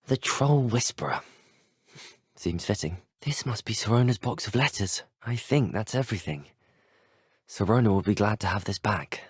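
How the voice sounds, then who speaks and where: An actor playing an earnest, courteous young male wizard student in a video game, polite and well-spoken, with a British accent and a smooth voice. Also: lowish voice, no echo, clean studio sound. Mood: pleased. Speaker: player character in a video game. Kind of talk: scripted video game voice acting.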